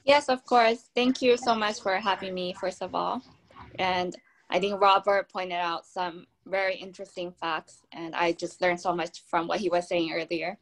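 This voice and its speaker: Young woman brand founder on a video call, lowish voice, upbeat and explanatory. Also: steady tone, moderate pace. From Taiwan. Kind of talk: video call panel answer. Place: home room.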